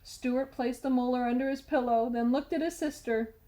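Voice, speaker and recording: A woman reading a children's storybook aloud as scripted narration, with deliberate, dramatic emphasis. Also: high-pitched, fairly even intonation, warm tone, low-quality recording.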